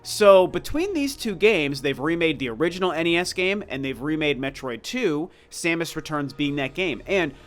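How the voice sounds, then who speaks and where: A man's voice: on the high side, lively intonation, normal speed, hardly any pauses, faint music behind. A man in his forties with a congested, slightly scratchy, stuffed-up voice, giving casual and emphatic opinionated commentary as a video game review host. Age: 40s. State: congested, sick with a cold.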